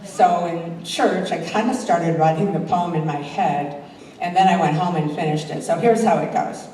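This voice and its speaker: Older woman, mellow lowish voice, poetry recital, very echoey room, distant mic. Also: moderate pace. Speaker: amateur poet reading own poems. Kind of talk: introducing and reciting poetry to a live audience. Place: hall at a church talent show. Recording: very echoey hall, distant mic, faint noise.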